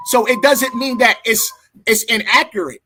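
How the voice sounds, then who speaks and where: A man's voice: very high voice, normal speed, bright, forceful tone, slight background noise. A man in a heated debate, explaining his side and cutting in with repeated calls to hold on. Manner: keeps saying hold on, explains patiently.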